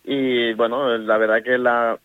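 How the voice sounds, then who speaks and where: A middle-aged man with an Ecuadorian accent talks haltingly about coaching, stopping and restarting his sentences. His voice comes through a tinny, narrow phone line with no room sound. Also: mid-range voice, little pitch movement, no echo. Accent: Ecuadorian Spanish. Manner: halting, many restarts and fillers. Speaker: women's youth football coach. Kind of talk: casual radio interview.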